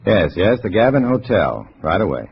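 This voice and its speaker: A man's voice: deep voice, very animated, moderate pace, a little hiss or hum. An American man playing a tense undercover agent in a 1950s radio spy drama, terse and hard-boiled. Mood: urgent pretence. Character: tense undercover agent posing as a communist comrade. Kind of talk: 1950s radio drama acting and first-person narration. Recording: dull old radio recording with music.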